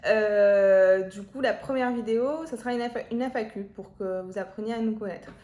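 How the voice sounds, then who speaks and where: A woman's voice: mid-range voice, normal speed, warm tone. A woman, chatting casually and playfully as she hosts a couple's Q&A vlog. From Marseille, France. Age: 20s. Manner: chatty, giggly, teasing. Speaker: vlog host, half of a couple channel. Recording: echoey room.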